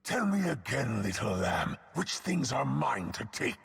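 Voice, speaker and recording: An actor playing a savage, simple-minded spirit wolf for a video game, growling short, blunt, hungry phrases. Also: male voice, medium pitch, sing-song, unhurried, fairly bright tone, exaggerated voice. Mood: brooding, curious. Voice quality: growling, gravelly, raspy. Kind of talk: video game character voice lines. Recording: game audio with music behind. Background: music.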